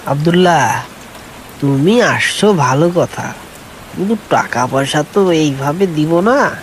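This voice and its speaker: A man plays a stern village headman in a cartoon folk tale. He talks slowly with a cold, dismissive manner, over faint rain and hiss. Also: a little high, expressive, poor audio. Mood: refusing. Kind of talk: animated folk tale acting.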